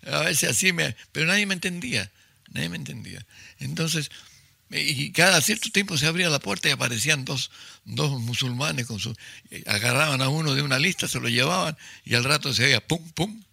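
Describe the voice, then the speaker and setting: A man's voice: on the high side, expressive, a little fast, creaky, bright, forceful tone, slightly rough, no room sound, clean studio sound. An older man from Chile, a writer telling vivid stories in an interview, acting out quotes and often restarting his sentences. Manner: vivid storyteller, acts out quotes, frequent restarts. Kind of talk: storytelling in an interview.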